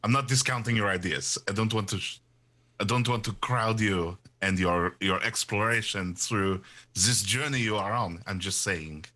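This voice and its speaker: Man putting on a low, bright, fussy Frenchman. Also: normal speed. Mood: gently chiding. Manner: gently admonishing, repetitive, sprinkles French phrases. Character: courteous, fussy, peace-minded Frenchman.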